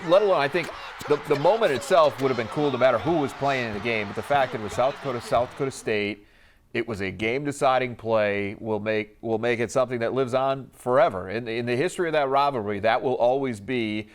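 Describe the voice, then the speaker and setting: A male sports panelist with a medium-pitched voice. He talks casually and emphatically, stretching key words as he breaks down the games. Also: fast, slight background noise.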